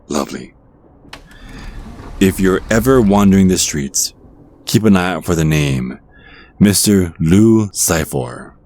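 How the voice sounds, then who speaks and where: Casting: male actor as a charming, ageless devil in disguise, low-pitched, playful, teasing and reassuring, for ASMR roleplay. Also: a little mellow.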